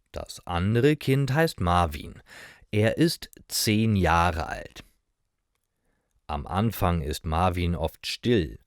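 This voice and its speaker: A man's voice: low voice, no room sound, clean studio sound. A man reading a simple scripted story as a narrator for beginner language learners, in short, careful sentences. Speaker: narrator of German learning stories.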